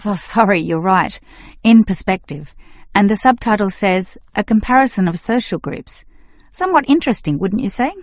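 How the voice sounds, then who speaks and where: Female student played by a woman, young, agreeable yet opinionated, measured scripted delivery, dry studio acoustic. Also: mid-range voice, animated, no echo, lightly acted. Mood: agreeable, reasoning. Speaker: student in a listening test dialogue. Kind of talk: scripted exam dialogue acting.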